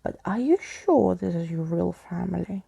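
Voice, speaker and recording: A woman's voice: lowish voice, animated, moderate pace, vocal fry, dark tone, slightly rough, heavily acted. A woman voicing a courtly, eccentric male skeleton from a storybook, with a pompous, old-fashioned manner. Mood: politely hesitant.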